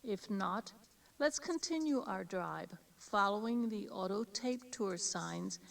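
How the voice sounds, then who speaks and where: An American woman reading scripted tour directions in a measured, formal, instructional manner. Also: medium pitch, animated, creaky. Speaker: audio tour guide giving directions. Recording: distant-sounding 1983 cassette tape.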